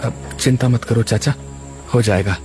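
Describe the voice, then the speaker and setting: A man's voice: medium pitch, steady tone, moderate pace, darkish tone, soft background music, lightly acted. A man voicing a cheerful young laborer in a cartoon story, who talks in an eager, reassuring way. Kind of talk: Hindi cartoon story dubbing.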